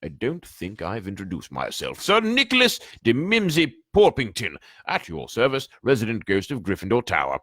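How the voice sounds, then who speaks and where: A man's voice: on the low side, huge pitch swings, moderate pace, few pauses, a bit bright, no echo, studio quality, pitched above the performer's own voice, full character voice. A man voicing a mournful, genteel old ghost who speaks stiffly and politely. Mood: wistful.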